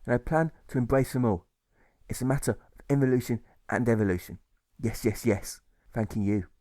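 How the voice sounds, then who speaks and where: A man voicing a humble, gentle peace preacher in an audiobook, courteous and pleading, repeating himself. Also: mid-range voice, a little fast, dry recording, clean studio sound, close to an everyday voice. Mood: resolved, grateful.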